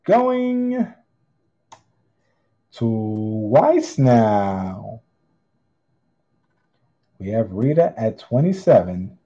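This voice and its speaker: A man hosting a live online auction, calling bids with theatrical, drawn-out singsong phrases. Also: lowish voice, narrow, tinny audio. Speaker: online auctioneer, channel host. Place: indoors at a tabletop display.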